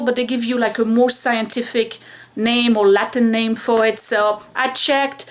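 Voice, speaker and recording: A woman's voice: a little high, fairly bright tone. A woman in her forties chatting candidly in a home vlog, rambling a little with fillers, filmed by a window at home. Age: 40s. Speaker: vlogger. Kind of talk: casual home hair-care vlog.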